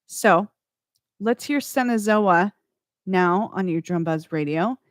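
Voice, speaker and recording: A woman's voice: mid-range voice, dry recording, clean studio sound. A woman hosting a radio music show, chatting between tracks in a rambling way that corrects itself as she goes. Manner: slow, rambling, self-correcting.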